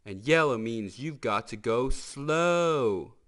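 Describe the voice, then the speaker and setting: A man's voice: medium pitch, lively intonation, slow, fairly bright tone. A man voicing a friendly, folksy tow-truck deputy from a children's storybook, eager and earnest. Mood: earnest, instructive.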